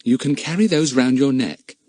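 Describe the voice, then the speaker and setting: A male narrator voicing a firm, brisk, motherly aunt managing a household of children. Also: medium pitch, mellow, dry recording, pitched above the performer's own voice, natural voice.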